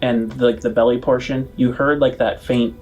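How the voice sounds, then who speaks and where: A man acting as game master, improvising scene descriptions in a halting way and rephrasing as he goes. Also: medium pitch, fairly even intonation, darkish tone, faint music behind. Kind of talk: improvised tabletop game narration.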